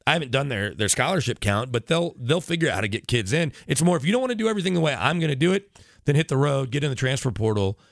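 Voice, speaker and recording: A man's voice: mid-range voice, very animated, fast talker, gravelly, no room sound, clean studio sound. A man talking sports casually as a radio broadcaster guest, explaining in long detail with tangents and self-corrections. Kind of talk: casual sports talk interview.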